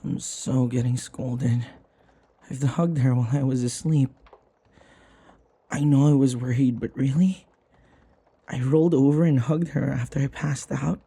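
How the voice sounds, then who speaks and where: A man playing a flustered, submissive servant in an intimate audio roleplay, hesitant and apologetic, stammering with a breathy voice. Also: medium pitch, steady tone, measured pace, pauses often, mellow. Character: flustered, submissive young male servant devoted to his vampire mistress.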